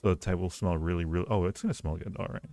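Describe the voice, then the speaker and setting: Low-voiced man, playful livestream host. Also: steady tone, rapid-fire, mellow. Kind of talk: casual livestream chat with viewers. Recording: roomy echo, faint music behind.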